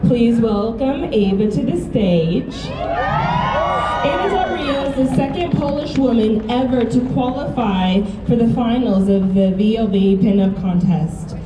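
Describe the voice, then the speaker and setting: A woman's voice: on the high side, measured pace, noisy background. A woman hosting a live pinup contest on stage, reading out contestant introductions in an upbeat way. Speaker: pageant emcee. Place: outdoor car show stage. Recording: echoey PA sound, loud crowd.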